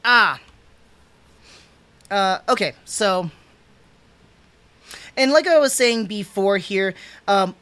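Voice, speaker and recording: Man, 30s, livestream reviewer. Casual, animated, rambling with restarts and stumbles. Home room, desk webcam. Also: sits high. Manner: rambling, restarts, stumbles over names, chuckles; animated, gestures with hands while talking. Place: small home room indoors.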